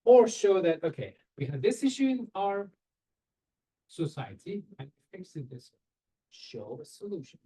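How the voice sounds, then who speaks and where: Earnest man, husky and mellow. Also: sits high, very animated, a little slow, rough recording. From Iran. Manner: earnest, direct, rhetorical questions, non-native phrasing. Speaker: professor giving a seminar. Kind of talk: career advice talk over slides. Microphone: webcam on a video call.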